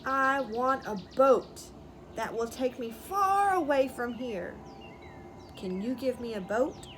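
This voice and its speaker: A woman puts on a storybook boy grown old, weary and needy. He talks slowly and bluntly, tired yet demanding, with clear noise in the background. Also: sits high, big pitch swings, a bit bright, full character voice. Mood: sad, wanting.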